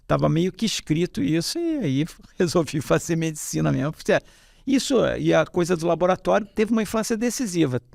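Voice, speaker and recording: Gravelly middle-aged man from Rio. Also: fairly high pitch, fairly quick, no echo, clean studio sound. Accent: Carioca Brazilian Portuguese. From Rio de Janeiro, Brazil. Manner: rambling, many fillers and restarts, animated when telling stories. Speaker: hematologist guest. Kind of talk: casual interview storytelling.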